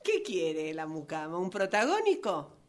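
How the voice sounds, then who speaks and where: An actress with a Rioplatense Argentine accent playing a defensive, flustered novelist in a radio play rehearsal, hesitant and protesting. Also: female voice, on the low side, wildly swooping pitch, a little slow. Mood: mocking. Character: flustered, defensive woman novelist facing her own character.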